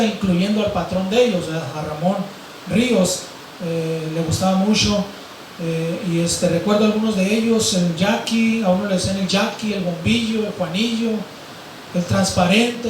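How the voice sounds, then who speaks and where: A hoarse male pastor preaches in a rambling way, his fairly high voice worn thin. He sounds far from the microphone, and his words ring through a very echoey church hall. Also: a little mellow, slight background noise. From Mexico, grew up in Mazatlán. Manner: rambling, fills with "eh" and "¿verdad?". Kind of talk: sermon.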